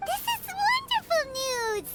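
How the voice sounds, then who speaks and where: Tiny squeaky, excitable fairy. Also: female voice, takes it slowly, exaggerated voice. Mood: delighted. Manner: refers to self in third person, exclaiming. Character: small excitable female fairy companion, childlike and chirpy. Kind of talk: anime video game voice acting. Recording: game music behind the voice.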